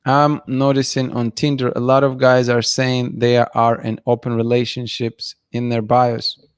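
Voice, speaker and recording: A man in his thirties hosting a night-time livestream, bluntly giving his opinions and reading chat comments aloud, his voice going hoarse. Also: mid-range voice, dry recording, clean studio sound. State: losing his voice, clearing throat. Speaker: livestream host. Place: dim room at night, indoors. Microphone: studio mic in front of him.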